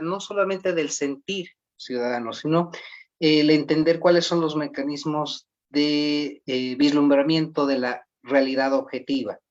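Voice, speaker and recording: A man answering interview questions at length in a measured, formal way, often pausing with "eh". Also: fairly high pitch. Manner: measured, formal, frequent "eh" pauses. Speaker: guest. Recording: dull lo-fi audio.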